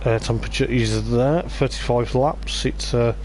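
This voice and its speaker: A man with a voice going hoarse, giving rambling live race commentary on a stream, with lots of hesitant fillers. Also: medium pitch, fairly even intonation, moderate pace, a little mellow, a little hiss or hum. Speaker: sim racing league commentator.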